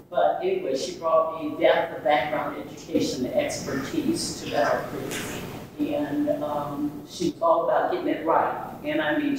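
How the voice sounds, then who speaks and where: Echoey woman speaking from afar. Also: sits low. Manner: earnest, many fillers. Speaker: readers theater performer. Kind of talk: informal remarks to an audience. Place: large indoor museum room. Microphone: far camera. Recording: echoey room from far off, noisy.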